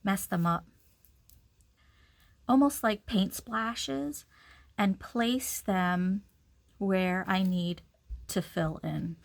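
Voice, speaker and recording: Woman doing a casual craft how-to, talks thru each step as she goes, slow pace, really dry close sound. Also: medium pitch, vocal fry, no echo. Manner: informal, talks through each action while working. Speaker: craft tutorial instructor. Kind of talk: step-by-step hands-on demonstration, casual.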